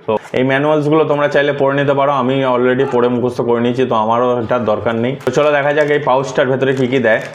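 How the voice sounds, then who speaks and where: A man presents like a product vlogger. He talks quickly at a medium pitch, in a casual, explanatory way, dropping English words into his sentences. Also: fairly even intonation, highs cut off, a little hiss or hum. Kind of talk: casual hands-on tutorial.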